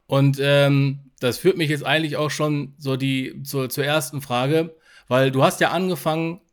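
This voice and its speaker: A man's voice: mid-range voice, steady tone, a little slow. A man co-hosting a podcast, asking questions in a casual, conversational interview style.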